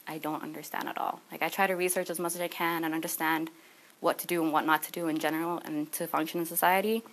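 Young woman, student presenter, slightly low voice, chatty storytelling delivery, small classroom, recorded from a few meters. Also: a bit bright. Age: young adult. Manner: conversational, anecdotal. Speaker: student speaker. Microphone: camera a few meters away.

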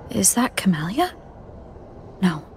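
An actress playing a thoughtful, level-headed young woman adventurer musing to herself in a video game, measured and pensive. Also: medium pitch, very animated, darkish tone, a little hiss or hum. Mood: pensive, concerned. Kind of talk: game inner monologue.

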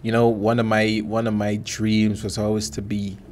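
A man's voice: on the low side, completely flat delivery, moderate pace, a little mellow, a little hiss or hum. Young Caribbean man hosting with a laugh. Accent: Caribbean English, mixed Guyanese and Bahamian. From Guyana. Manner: joking, laughs often, easygoing. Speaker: podcast host. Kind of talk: casual interview chat. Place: small studio with desk. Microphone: studio mic on stand.

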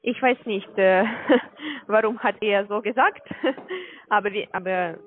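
Halting foreign-accented woman on a tinny phone. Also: mid-range voice, animated. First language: not German. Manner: halting, searching for words. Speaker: call-centre finance department manager. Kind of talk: phone conversation. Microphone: telephone line. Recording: tinny, narrow-band phone call recording.

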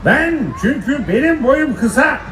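A man's voice: very high-pitched, animated, slow pace, a bit bright, heavily acted. A man playing a short, grumpy, bossy gang leader in a theatrical children's fairy-tale stage show. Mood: impatient, bossy. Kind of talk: children's stage musical acting. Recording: echoey hall stage sound with music.

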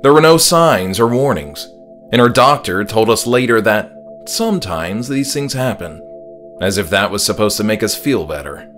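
A man narrates a horror audiobook in the first person. His voice sits on the low side, and he reads from a script as the author of the story. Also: animated, normal speed, fairly bright tone, faint music behind.

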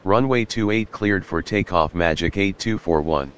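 A low-pitched, businesslike male pilot acted by a man for a flight control game. He gives clipped, procedural radio readbacks with a flat delivery. Faint engine noise sits behind the voice. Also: normal speed, highs cut off. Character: businesslike male airline pilot answering the tower.

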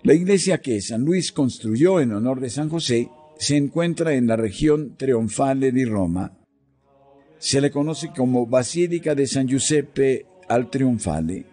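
A man reading a devotional text in a slow, solemn, measured way, pausing between phrases. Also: medium pitch, animated. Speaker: devotional reader. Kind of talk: slow scripted devotional narration. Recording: soft music underneath.

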